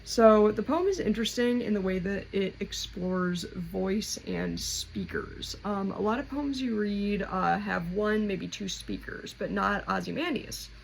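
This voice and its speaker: A woman's voice: medium pitch, very animated, measured pace, hardly any pauses. A woman hosting a casual explanatory lesson, talking informally and conversationally as she thinks aloud. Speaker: host of a poetry explainer. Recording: clearly noisy home recording.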